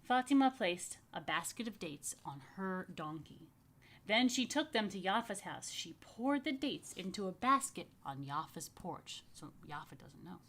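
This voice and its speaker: A woman reading a picture book to young families as a rabbi, stretching key phrases expressively and slipping into chatty asides. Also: lowish voice. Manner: expressive, slow emphatic storytelling with chatty murmured asides. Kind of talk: children's story read-aloud with casual asides.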